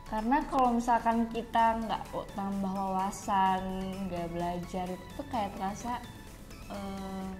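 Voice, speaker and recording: A woman's voice: medium pitch, fairly even intonation, unhurried. Young Sundanese-accented woman, music behind. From Sunda, West Java, Indonesia. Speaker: co-host of a language vlog. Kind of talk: casual chat.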